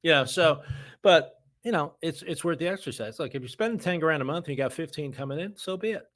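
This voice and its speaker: A man's voice: fairly high pitch, lively intonation, fairly quick, a bit bright. A middle-aged American man talking casually as a financial advisor on a podcast, blunt and folksy, often posing rhetorical questions. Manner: opinionated, blunt, folksy, uses rhetorical questions and acted-out quotes. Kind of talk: casual conversational podcast talk.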